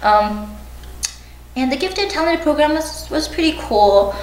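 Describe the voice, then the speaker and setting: A woman's voice: sits high, expressive, measured pace. A young woman from China and the United States casually recounting personal memories in an informal, hedging way as an interviewee. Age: young adult. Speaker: oral history interviewee. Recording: roomy, distant mic, faint background noise.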